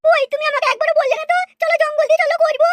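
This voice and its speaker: A piercingly high, squeaky cartoon village wife. She is brazen and flirtatious, with a quick, sing-song and sugary delivery. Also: level tone, very noisy. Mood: pouting, flirty. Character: brazen, flirtatious married village woman, sarcastic and gushing. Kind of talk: cartoon dialogue.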